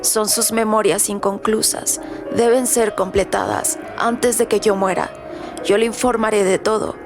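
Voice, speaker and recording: A woman playing a frail, commanding old widow in a radio drama, speaking formally and tersely with a creaky voice. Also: mid-range voice, fairly even intonation, unhurried, close to an everyday voice. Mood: imperious, businesslike. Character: frail, imperious old widow, cryptic and insistent. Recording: loud music underneath. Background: music.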